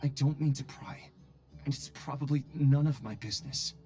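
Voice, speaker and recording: An actor voicing an earnest, fretful colleague in a video game, speaking politely but emphatically. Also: male voice, mid-range voice, moderate pace, dark tone, faint music behind, lightly acted. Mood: concerned. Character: earnest, fretful male colleague, concerned and exasperated.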